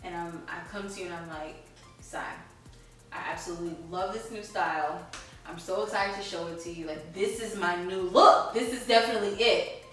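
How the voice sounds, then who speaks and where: Young woman co-host, playful and chatty, bright voice, echoey distant recording. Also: lowish voice, brisk. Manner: playful, laughs often. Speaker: podcast co-host. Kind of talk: casual chat. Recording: echoey room, distant mic, faint music.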